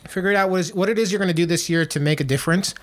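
A man's voice: high-pitched, very fast. A man in his late thirties co-hosting a casual podcast, rambling with lots of fillers and restarts. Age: 30s. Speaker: podcast co-host.

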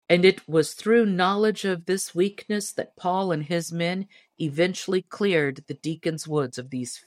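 A woman's voice: deep voice, dry recording, studio quality. A woman reading a storybook tale aloud as scripted narration. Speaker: audiobook narrator.

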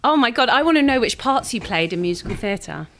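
A woman's voice: fairly high pitch, expressive, fast talker, fairly bright tone, a little hiss or hum. A woman hosting a podcast, chatting casually and encouragingly with her guest. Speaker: podcast host.

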